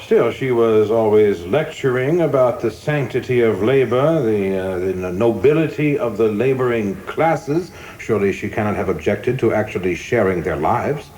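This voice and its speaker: An actor playing a coldly zealous young government official in a radio play, speaking formally and insistently with polite deference that cuts. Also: male voice, fairly low pitch, expressive, normal speed, hardly any pauses, darkish tone, lightly acted. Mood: coldly argumentative. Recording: clearly noisy background ambience.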